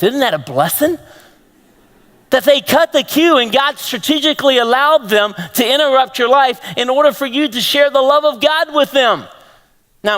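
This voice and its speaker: A man with a Texan accent preaching a lively sermon to a congregation, throwing out questions to the room. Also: extremely high pitch. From Texas. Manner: animated, rhetorical questions to the congregation. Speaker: pastor. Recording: long hall reverb.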